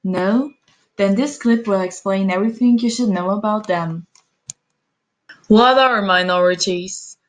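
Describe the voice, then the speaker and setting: Brief: woman narrator, plain read-aloud explainer, mid-pitched voice. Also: unhurried. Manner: reads plainly from a script. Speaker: student presenter.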